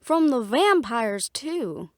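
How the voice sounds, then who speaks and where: A woman's voice: fairly high pitch, sing-song, slow, dry recording, clean studio sound. A woman playing a sarcastic, defiant young half-fae daughter in an audio drama, with a snappy manner. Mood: sarcastic, irritated. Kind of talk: dramatic audio roleplay acting.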